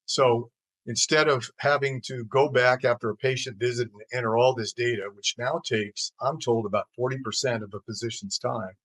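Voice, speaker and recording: An older American man giving measured, explanatory answers as an interview guest, pausing as he forms his thoughts. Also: mid-range voice, steady tone, a bit bright, poor audio. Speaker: interview guest, healthcare executive.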